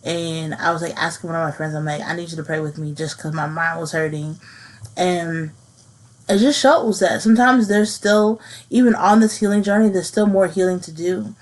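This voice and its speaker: Woman podcaster, thirties, slightly low pitch, earnest, halting, soft-spoken. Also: steady tone, low-quality recording. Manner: earnest, halting, repeats phrases as she processes. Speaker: podcast host. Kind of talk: personal reflective monologue.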